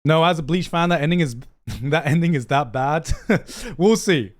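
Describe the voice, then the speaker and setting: A man's voice: a little high, animated, normal speed. A young man casually reacting to an anime trailer on a live stream, swearing freely, laughing often and repeating himself as he thinks aloud. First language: not Japanese.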